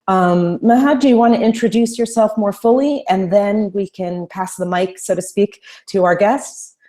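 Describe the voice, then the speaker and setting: A woman from New Jersey co-hosting an online panel over a video call, speaking in a friendly, measured way with fillers. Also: medium pitch, dark tone. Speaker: co-host, literature professor.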